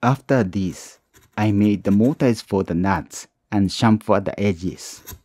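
A man narrating his own woodworking tutorial as a calm voice-over, with plain, slightly non-native phrasing. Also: fairly low pitch, slow, dry recording. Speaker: woodworking channel host.

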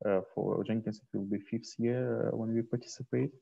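A man presenting slides informally on a video call, speaking in a hesitant, halting way into a headset. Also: fairly low pitch, fairly even intonation, creaky, dark tone, breathy edge. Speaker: host and presenter of an online community onboarding session. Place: small indoor room, video call. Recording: dull, muffled headset call audio.

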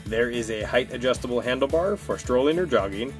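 A man's voice: medium pitch, animated, brisk. A man reading a scripted promotional product narration as an advertisement voice-over. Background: music.

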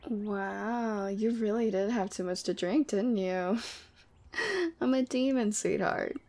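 A woman's voice: medium pitch, slow pace, darkish tone. A woman playing a sweet, teasing, clingy demon girlfriend in a casual ASMR roleplay. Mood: teasing, caring. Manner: casual, teasing, playfully exasperated. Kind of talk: ASMR roleplay audio drama.

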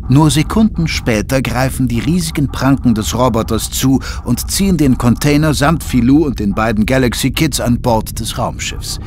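A man's voice: medium pitch, expressive, moderate pace, few pauses, darkish tone, a little hiss or hum. A man narrating a children's adventure audio drama in a scripted, dramatic style.

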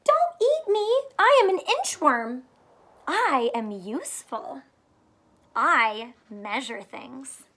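A tiny storybook inchworm, played by a woman reading aloud, pleads its case earnestly. The voice is high and slow, simple and eager to be useful. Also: big pitch swings, fairly bright tone, slight background noise, full character voice. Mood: pleading. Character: small frightened inchworm pleading and helpful.

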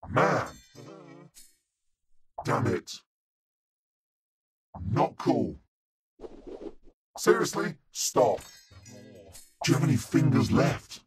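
Demonic angry chef, slow and swooping. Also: male voice, mid-range voice, slight background noise, full character voice. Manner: barking short insults and kitchen orders. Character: angry, foul-mouthed male celebrity chef with demonic voice. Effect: demonic voice filter. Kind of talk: mobile game character voice lines.

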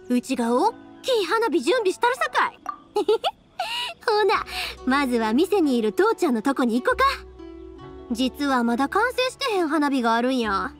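An actress playing a cheerful, big-hearted young fireworks maker in a Japanese game, speaking informally and earnestly with a Kansai accent. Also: female voice, high voice, wildly swooping pitch, normal speed, soft background music. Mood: cheerful, reassuring. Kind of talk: Japanese anime-style game voice acting.

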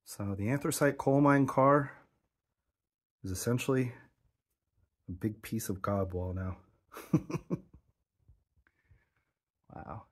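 A man's voice: medium pitch, slow, pauses often, husky. A man narrating his own exploration of a cramped abandoned mine, enthusiastic and explaining what he sees as he goes.

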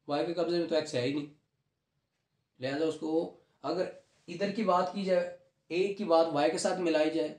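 A man's voice: a little high, a little mellow. A man with a Pakistani accent giving a law lecture, explaining step by step, repeating key points and mixing in English legal terms. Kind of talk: classroom lecture with questions and explanations. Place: small room at a whiteboard, indoors. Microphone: camera a few steps away. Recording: roomy echoing indoor recording.

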